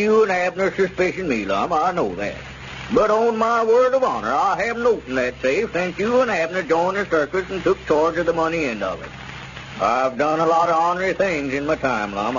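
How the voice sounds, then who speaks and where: Shifty old rural Southern squire: folksy, hemming, radio comedy, dull recording with clear noise. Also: male voice, a little high, sing-song, moderate pace, a bit bright. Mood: protesting innocence. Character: shifty old small-town squire, wheedling and suspicious. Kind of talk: 1930s-40s radio comedy acting. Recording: muffled, noisy old radio broadcast.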